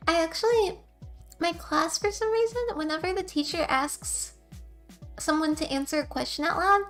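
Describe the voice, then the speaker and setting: Young woman, high and soft-voiced, rambling and giggly, casual stream chat over faint music. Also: normal speed. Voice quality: soft, quiet voice.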